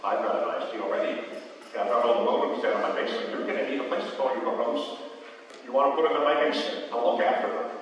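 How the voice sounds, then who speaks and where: A man's voice: medium pitch, rapid-fire, lo-fi sound. A man giving an informal public lecture as a guest speaker, recorded in a hall. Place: lecture hall, distant microphone. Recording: echoey hall from far off, faint noise.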